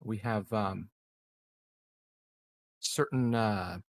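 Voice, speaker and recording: A man improvising in-character conversation as a roleplaying player, phrasing things in a measured, halting way. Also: fairly low pitch, no room sound.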